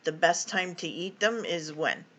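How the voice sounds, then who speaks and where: A man's voice: on the high side, unhurried, pushed and bright. A man interviewing a guest, asking follow-up questions and giving short affirmations. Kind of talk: casual interview.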